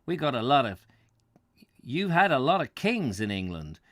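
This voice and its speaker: A man's voice: medium pitch, sing-song, normal speed, fairly bright tone, no echo, clean studio sound. A man voicing a chatty middle-aged American tourist with an American accent, slangy and enthusiastic.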